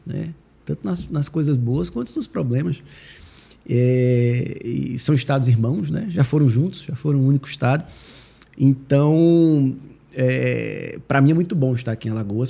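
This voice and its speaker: Gravelly, mellow man with a Recife accent. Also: medium pitch, moderate pace. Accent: Northeastern Brazilian, Recife. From Recife, Pernambuco, Brazil. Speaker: podcast guest, judge and law professor. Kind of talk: conversational interview answers.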